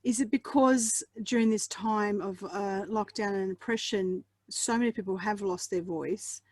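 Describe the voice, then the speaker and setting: A woman's voice: mid-range voice, measured pace, dry recording. A woman podcast host who asks long, musing questions and gives frequent small agreements in a casual interview.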